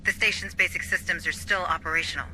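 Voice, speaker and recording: Bright, brisk station administrator. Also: female voice, fairly low pitch, fairly even intonation, low-quality recording. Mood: practical, cautioning. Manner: matter-of-fact, instructive. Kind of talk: video game military drama.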